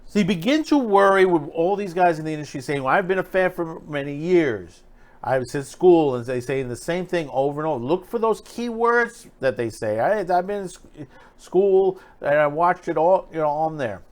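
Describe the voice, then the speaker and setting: A man's voice: a little high, lively intonation. A man giving opinionated, off-the-cuff pop culture commentary as a video host, emphatic and critical, often repeating himself and restarting phrases. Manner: halting, repetitive, restarting phrases mid-thought.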